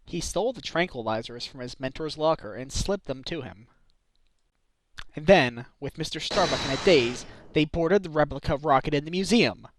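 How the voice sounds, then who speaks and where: A man's voice: fairly high pitch, expressive, moderate pace, close to an everyday voice, low-quality recording. A man voicing a wry, determined defense attorney in a video game fandub, questioning assertively with dry asides. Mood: methodical, wry. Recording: amateur home recording under game music.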